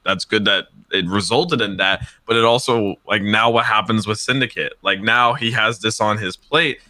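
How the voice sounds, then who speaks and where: A man co-hosting a casual podcast, explaining things in a halting, careful way with repeated starts. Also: on the low side, bright, forceful tone, no room sound.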